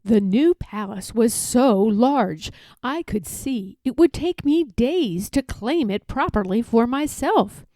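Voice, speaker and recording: A woman reading a children's picture book aloud as an expressive, deliberate storyteller. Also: on the high side, dry recording.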